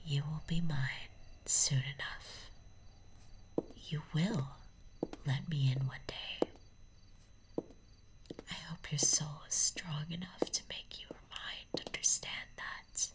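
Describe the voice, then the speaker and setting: A woman's voice: low-pitched, steady tone. Woman acting a whispering, obsessive female stalker. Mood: quietly menacing. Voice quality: soft, breathy whisper. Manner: slow, deliberate, ornate endearments. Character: obsessive, possessive female stalker, tender yet menacing. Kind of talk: whispered ASMR roleplay.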